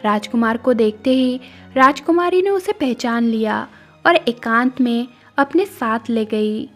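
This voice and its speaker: High-pitched woman narrating a cartoon story. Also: expressive, unhurried. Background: faint music.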